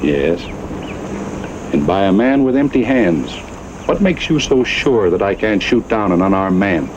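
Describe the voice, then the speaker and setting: Casting: proud old American rancher, radio Western. Grave, plain-spoken, on the low side, muffled recording with faint noise. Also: male voice, expressive, unhurried. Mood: stern warning. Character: stern, proud old cattle baron of the range. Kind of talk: Western radio drama acting.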